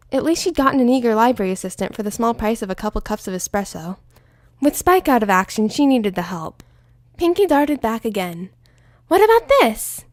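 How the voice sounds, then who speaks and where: Expressive woman narrating a story. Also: a little high, dry recording, studio quality. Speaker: fanfiction reader. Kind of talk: audiobook-style story narration.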